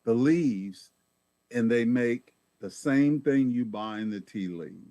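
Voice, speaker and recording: A man's voice: mid-range voice, slow pace, mellow, no room sound. A man giving an informal health talk as guest presenter, folksy and explanatory, often repeating words as he thinks.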